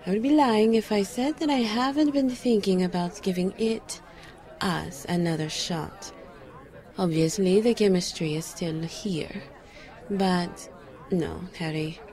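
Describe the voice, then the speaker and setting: A woman's voice: mid-range voice, a little slow, a little mellow, a faint crowd, lightly acted. An actress playing a skeptical, guarded psychic woman, dry and teasing, in an audio drama. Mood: firm, guarded. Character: skeptical, psychic enchanted woman, the detective's old flame.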